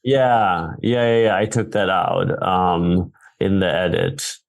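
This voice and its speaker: Director guest, man from Sweden, low gravelly voice, thinks aloud and restarts often. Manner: thoughtful, halting, many fillers and restarts. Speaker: film director. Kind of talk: Q&A conversation on stage. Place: theater.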